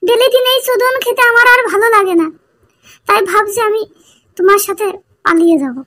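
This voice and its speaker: A woman voicing an aggrieved, pleading young village wife in a comedy cartoon, with a pitched-up voice. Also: fairly quick, a bit bright. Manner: plaintive, insistent.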